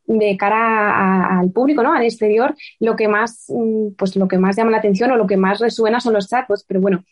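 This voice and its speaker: A woman's voice: mid-range voice, fairly quick, no room sound. A woman speaking in a casual interview, chatty and hesitant, with many fillers. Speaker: computational linguist guest. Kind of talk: conversational podcast interview.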